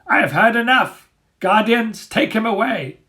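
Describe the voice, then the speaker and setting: A man's voice: high-pitched, expressive, a little slow, pushed and bright, pitched above the performer's own voice, exaggerated voice. A man voicing a cruel, menacing war dog who barks scornful orders in a storybook reading. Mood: commanding anger. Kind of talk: dramatic storybook character reading.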